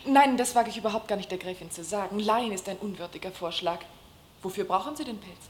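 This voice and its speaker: A woman's voice: medium pitch, fast talker, slight background noise, lightly acted. An actress playing a proud, protective young Belarusian shop girl in a radio play, with a formal, reproving, emphatic manner. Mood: firm, indignant.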